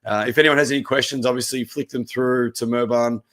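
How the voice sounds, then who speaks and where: A man, a tennis coach, giving a relaxed presentation with a croaky voice. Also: mid-range voice, fairly quick. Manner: steady explaining, relaxed. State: hoarse.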